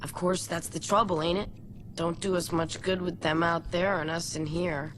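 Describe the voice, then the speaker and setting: An actress playing an informal, wisecracking crew member in a video game cutscene, with a slangy, folksy way of talking. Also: female voice, lowish voice, flat delivery, moderate pace, fairly bright tone, natural voice. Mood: concerned, matter-of-fact. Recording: game ambience noise behind the voice.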